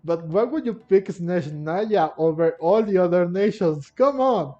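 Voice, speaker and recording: Guy doing a casual let's play, higher mellow voice, keeps rephrasing, game music behind. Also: expressive, lo-fi sound. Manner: halting, repeats and rephrases himself. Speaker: gamer narrating a playthrough. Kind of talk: casual gameplay commentary. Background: video game music.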